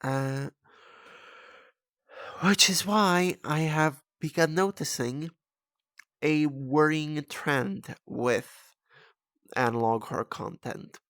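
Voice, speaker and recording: A man in his twenties from the Czech Republic, a native Czech speaker, giving a casual, rambling, halting off-the-cuff video commentary as host. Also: fairly high pitch, a bit bright, dry recording, clean studio sound. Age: 20s.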